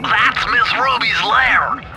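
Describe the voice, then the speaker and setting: Casting: anxious brainy young turtle sidekick. Extremely high, nasal, fussy and precise, video game cartoon over a music bed. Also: male voice, slow, full character voice, rough recording. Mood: instructive, warning. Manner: fussy, precise, techy explaining.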